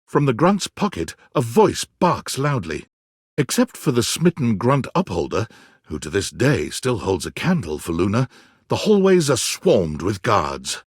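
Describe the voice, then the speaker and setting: A dramatic storyteller for a sci-fi video game. His mid-pitched voice is husky and rises and falls expressively. The scripted narration is recorded close and dry. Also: very animated, unhurried, no room sound. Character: male second-person narrator of a sci-fi game adventure.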